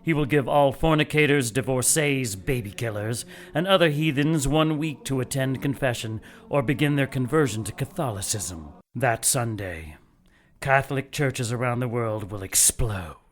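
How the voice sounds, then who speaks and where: Mid-pitched male voice, cold fanatic villain played by a man, methodical, measured, radio drama monologue. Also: lightly acted. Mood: coldly scheming. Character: cold, fanatical male conspirator plotting holy war.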